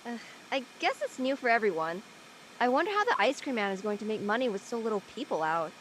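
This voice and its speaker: Jackie, lively high-pitched teenage girl, played by a woman. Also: quick pace, a little hiss or hum, low-quality recording. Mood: friendly, casual. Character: Jackie, practical seventeen-year-old high school girl. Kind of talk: conversational acting in an online audio play.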